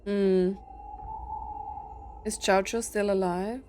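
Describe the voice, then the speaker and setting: A woman's voice: mid-range voice, fairly even intonation, slow, a little mellow. A woman gaming streamer giving casual playthrough commentary in brief, reactive asides. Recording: game music behind the voice.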